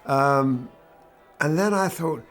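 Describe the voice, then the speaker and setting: An older man, a guitarist, telling anecdotes from his life in a relaxed, wry, conversational way. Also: on the high side, slow, soft background music. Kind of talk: reflective anecdotal storytelling.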